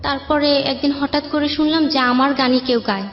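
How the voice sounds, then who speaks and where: A Bangladeshi woman telling her personal life story as an interview guest, in halting, colloquial speech that often repeats itself. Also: sits high, fairly even intonation. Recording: echoey room, dull sound, faint music.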